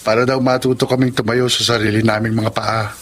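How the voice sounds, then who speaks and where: Magalang na binatang anak. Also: male voice, fairly low pitch, level tone, fairly quick, a bit bright. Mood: earnest. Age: young adult. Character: respectful young groom-to-be son. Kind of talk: Filipino drama acting.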